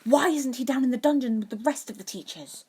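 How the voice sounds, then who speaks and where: A fiery schoolboy wizard, acted out by a woman narrator, brave and stubborn. He speaks urgently and forcefully, as in a fantasy storybook, pitched up and pushed hard. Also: brisk, slightly rough. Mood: hushed, urgent.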